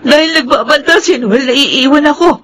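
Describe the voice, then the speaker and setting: A woman's voice: high-pitched, normal speed, a bit bright. A woman playing a distraught, remorseful mistress in a radio melodrama, sobbing and pleading. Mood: sobbing confession. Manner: sobbing, pleading, shaky delivery. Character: distraught, remorseful mistress confessing to killing a child. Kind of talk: melodramatic radio drama acting.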